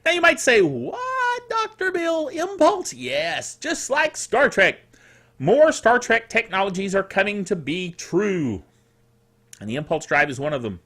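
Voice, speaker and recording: A man with a high, bright voice hosts a casual solo tech news show. His delivery is very animated, moving between read-out items and playful, exclamatory asides. His throat is a little sore. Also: a little slow, creaky. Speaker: host of a tech news podcast.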